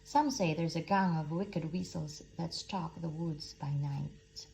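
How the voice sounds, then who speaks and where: A woman's voice: deep voice, slow, creaky, mellow, slight background noise, heavily acted. A woman voicing a sensible, cautious rabbit in a storybook, matter-of-fact in manner.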